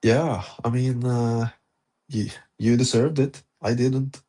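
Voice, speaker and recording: A man's voice: mid-range voice, fairly even intonation, slow pace, mellow. A man hosting a casual live audio-room podcast chat, laid-back and chuckling between phrases. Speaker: podcast host.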